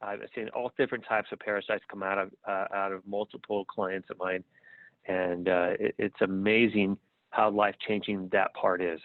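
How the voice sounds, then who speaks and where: A middle-aged American man, a guest on a podcast interview, talking in a relaxed way and often repeating words while he thinks. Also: lowish voice, normal speed, no room sound. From United States. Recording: tinny phone-like line.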